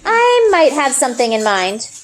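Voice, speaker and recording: Woman playing an earnest, high-voiced young girl. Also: very animated, normal speed, slight background noise. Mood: forced cheer. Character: earnest, anxious young girl talking to her father.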